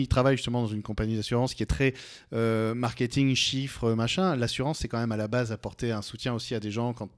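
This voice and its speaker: A man's voice: medium pitch, darkish tone, clean tone, no echo, clean studio sound. A man hosting a casual morning radio interview, feeling his way into questions with repetitions and rephrasings. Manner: hesitant, repeats and rephrases as he builds questions. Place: indoors, radio studio.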